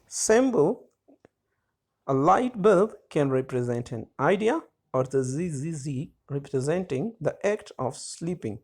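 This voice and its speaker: A man teaching a lesson, slowly reading out definitions and stressing each key term. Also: fairly high pitch, a little mellow. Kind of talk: slow lecture reading out definitions.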